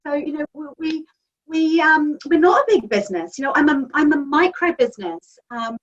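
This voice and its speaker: High-voiced New woman on a call. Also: lively intonation, fairly quick. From New Zealand. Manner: chatty and enthusiastic, with frequent ums. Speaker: magazine editor and publisher. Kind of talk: interview answers. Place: home office. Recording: distant, dull lo-fi call audio.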